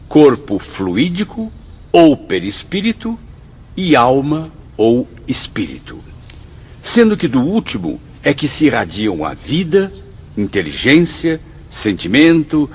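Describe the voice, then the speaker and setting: A dignified spirit pupil in a Brazilian radio drama, reciting solemnly with wide swings of pitch. His husky voice is formal and deliberate, carried in long hall reverb. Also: dull audio, heavily acted. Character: Camilo, earnest, dignified male spirit pupil reading a lesson aloud.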